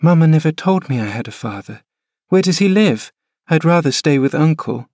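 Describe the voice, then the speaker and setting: A man's voice: on the high side, lively intonation, normal speed, darkish tone, dry recording, studio quality, voice pushed up, lightly acted. A man reading aloud, voicing a fretful, sickly young boy who asks plaintive questions. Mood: bewildered.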